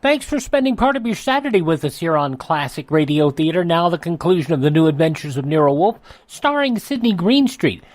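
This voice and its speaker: A woman's voice: sits low, very animated, fairly quick, hardly any pauses, a little mellow. Woman announcing a radio program. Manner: friendly, announcer-like. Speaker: radio show host.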